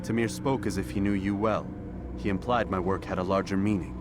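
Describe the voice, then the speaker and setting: A man's voice: sits low, steady tone, brisk, noisy background, close to an everyday voice. An actor playing a cool, confident male assassin in a historical video game, with a formal, brusque manner. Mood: restrained.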